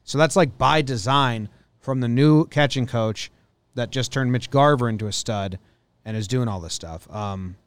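A man with a mid-pitched voice hosts a casual sports talk show. He speaks bluntly and swears as he vents. The sound is very dry, with no room on it. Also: moderate pace, clear, resonant tone, dry recording. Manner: blunt, profane venting. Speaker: sports podcast host.

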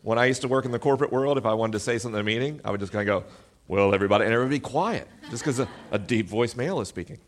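A man with a deep, booming voice holds the stage at a live podcast event, speaking into an amplified mic. His delivery is animated and self-deprecating, and he mixes humor with earnest points. His pitch sits in an ordinary middle range for a man. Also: very fast. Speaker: podcast host. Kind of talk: live stage talk to an audience.

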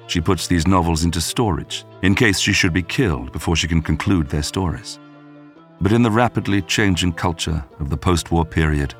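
A man narrating a scripted documentary podcast in a measured, polished storytelling manner. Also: low voice.

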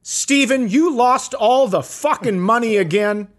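A man's voice: extremely high pitch, a little slow, pitched above the performer's own voice. A man voicing an angry, exasperated wife who scolds and hollers at her husband in a comedy bit. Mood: exasperated scolding.

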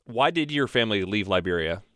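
A man interviewing a guest, asking calm questions with brief acknowledgments. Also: on the low side, brisk, no room sound, studio quality.

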